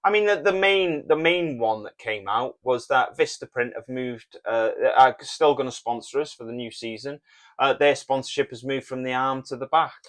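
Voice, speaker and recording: A Welsh man co-hosting a casual podcast, talking in a relaxed, plain way and reading out listener messages. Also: medium pitch, normal speed. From Wales. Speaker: podcast co-host.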